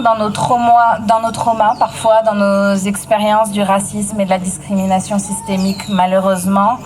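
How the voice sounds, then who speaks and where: A woman from Egypt, an elected official, giving a sincere public speech outdoors, at times hesitant. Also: on the high side. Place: outdoor event at an old port. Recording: noisy outdoor public event.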